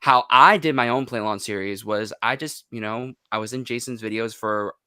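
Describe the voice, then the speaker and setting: A man's voice: medium pitch, normal speed, sharp tone, clean tone, no echo, clean studio sound. An American man speaking as a podcast guest, telling anecdotes in a casual, conversational way. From United States. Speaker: podcast guest, online video creator.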